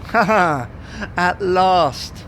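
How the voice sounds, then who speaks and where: A man's voice: high voice, animated, extremely slow, a bit bright, slight background noise, heavily acted. A man playing a grumpy, whining, babyish husband in an audio comedy drama, grouchy and plaintive in manner. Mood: teasing, weary.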